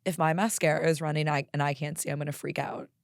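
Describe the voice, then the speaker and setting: Young woman podcast host, hoarse and raspy, candid and profane, lower voice, dry close sound. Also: fast, no room sound. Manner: candid, profane, animated with laughs. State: hoarse from karaoke singing. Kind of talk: solo podcast monologue.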